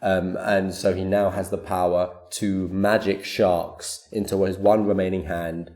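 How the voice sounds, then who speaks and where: Low, mellow man, gushing and stammering. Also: level tone, measured pace, roomy sound. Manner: gushing, stammering, repeats himself. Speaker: podcast co-host. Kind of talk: mock-earnest fan podcast banter.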